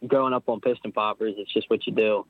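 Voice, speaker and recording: A man's voice: fairly low pitch, steady tone, fast talker, no echo. A nineteen-year-old man from Pennsylvania, a racer, chatting casually in an interview and rambling with frequent restarts. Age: young adult. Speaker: guest, motorcycle racer. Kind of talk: casual interview over the phone. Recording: dry, tinny phone line.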